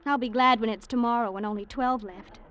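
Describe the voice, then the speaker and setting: An actress playing a playful, sweet young American bride-to-be with affectionate, teasing banter, in vintage radio drama style. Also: female voice, on the high side, fairly even intonation, a little fast, fairly bright tone, close to an everyday voice. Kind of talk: 1940s-50s radio drama acting. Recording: dull, band-limited vintage radio recording.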